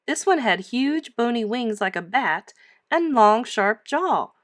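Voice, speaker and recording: Very animated woman reading a storybook. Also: fairly high pitch, slow, no echo, studio quality. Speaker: teacher reading aloud. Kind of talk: expressive children's storybook read-aloud.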